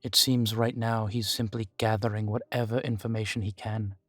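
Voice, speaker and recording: A man playing a guarded, world-weary vampire informant in an audio roleplay, speaking in formal, measured phrases, proud yet fond. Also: fairly low pitch, flat delivery, no echo, close to an everyday voice. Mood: guarded, defiant. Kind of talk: scripted audio roleplay to the listener. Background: background music.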